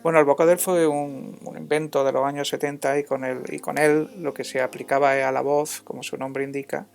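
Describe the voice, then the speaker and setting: A man narrating an informal music software tutorial as an instructor, in a halting, hesitant way. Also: medium pitch, flat delivery, creaky.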